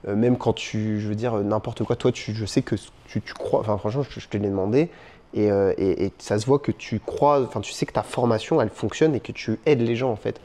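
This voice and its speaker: A man's voice: lowish voice, a little fast, mellow. A man hosting a casual interview, asking long rambling questions and agreeing often. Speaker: interviewer, live host.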